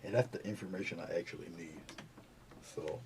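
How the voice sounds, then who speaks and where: Quiet low-voiced man, gravelly, on noisy webcam audio. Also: steady tone, a little fast. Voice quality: low, quiet voice that does not project. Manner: soft-spoken, mumbling asides while working. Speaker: painting livestream host. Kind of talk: casual live painting tutorial. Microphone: camera's built-in mic, not the lapel mic.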